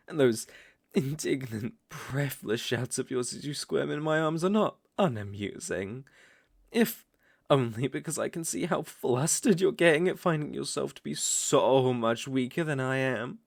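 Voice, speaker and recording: A man's voice: on the high side, measured pace, creaky, no room sound, clean studio sound. A British man playing a seductive, domineering vampire lord in an intimate ASMR roleplay, with teasing, ornate, condescending speech. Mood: amused, gloating.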